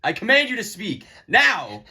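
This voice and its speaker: A man playing an impatient, commanding king in an amateur puppet show, barking orders with a rough voice. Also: sits high, huge pitch swings, moderate pace, sharp tone, full character voice. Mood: threatening fury. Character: commanding, impatient male king, demanding the truth.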